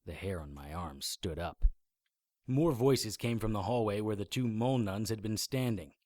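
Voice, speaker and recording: Hard-boiled monster hunter male actor, lowish voice, dry deadpan delivery, first-person audio drama narration. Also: normal speed, dry recording, natural voice. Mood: grim, tense.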